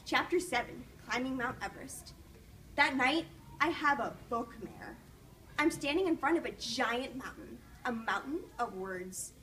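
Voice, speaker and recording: A woman with a high voice reads a story to children, teacher-style. She is expressive and encouraging. The mic is somewhat distant and picks up faint background noise. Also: unhurried. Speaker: student teacher reading aloud to children. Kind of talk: children's storybook read-aloud with questions.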